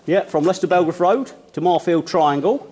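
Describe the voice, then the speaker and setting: A middle-aged man, giving jokey, teasing commentary while exploring a dark rail tunnel, recorded on a handheld camera. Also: sits high, brisk, breathy edge. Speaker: presenter and group leader.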